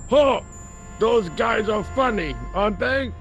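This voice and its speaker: An actor playing a cocky, gruff, work-shy workman in a video game, colloquial, blustering and scornful. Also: high-pitched, animated, slow pace, faint music behind, full character voice. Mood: chatty, scornful. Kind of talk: video game dialogue acting.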